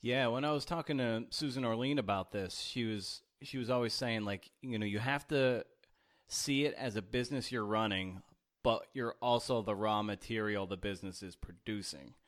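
A man with a lowish voice hosts a casual interview. He builds his questions reflectively, in loose conversational phrases. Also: moderate pace, a bit bright. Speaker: podcast interviewer and host.

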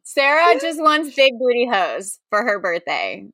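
A woman banters casually as a comedy podcast co-host. Her voice is bright and a little high, and she speaks unhurriedly in incredulous exclamations, often repeating words. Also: sing-song, a little slow, no echo. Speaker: podcast co-host guessing song titles.

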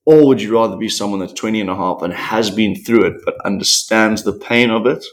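Low-voiced man, animated. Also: brisk. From South Africa. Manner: animated, rambling storyteller with acted-out quotes. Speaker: podcast guest, professional surfer. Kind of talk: candid interview conversation.

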